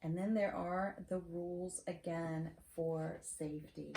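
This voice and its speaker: A middle-aged woman reads a picture book to a young child in a mellow voice. She is encouraging, pausing to ask simple questions and check with a "right?". The room sounds echoey, with faint background noise. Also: lowish voice, steady tone, creaky. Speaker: storyteller reading to grandchild. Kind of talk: children's picture-book read-aloud with chatty asides. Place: wood-shelved home study, indoors. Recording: roomy echo, faint noise, clicks.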